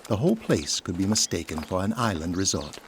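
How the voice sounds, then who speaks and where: Low, expressive male drama narrator. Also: brisk, slight background noise. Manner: descriptive storytelling.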